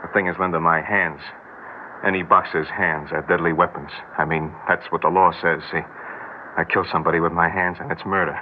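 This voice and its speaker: Guy acting a slow earnest young boxer, american, really deep voice, old radio drama, thin tinny sound. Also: fairly even intonation, slight background noise, natural voice. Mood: earnest, steady. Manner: halting, plain-spoken, deliberate.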